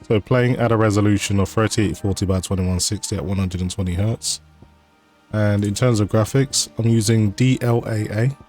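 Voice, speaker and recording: A man's voice: lowish voice, normal speed. A man hosting a gaming channel, chatting casually about settings and performance with occasional fillers. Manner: relaxed, conversational, with fillers. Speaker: game channel host. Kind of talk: casual gameplay commentary. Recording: voice-over over game music.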